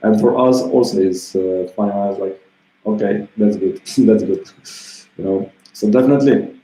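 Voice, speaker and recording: A man in his thirties talks in a loose, meandering way, full of little filler phrases. His voice sits low and sounds warm and relaxed. The room around him is echoey, so his words ring a little. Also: normal speed. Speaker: self-help vlogger. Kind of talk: casual motivational monologue. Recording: roomy echo.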